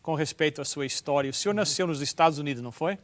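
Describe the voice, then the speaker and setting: A man's voice: fairly high pitch, fast talker. A man, a pastor, hosting a television interview and steering it with friendly questions.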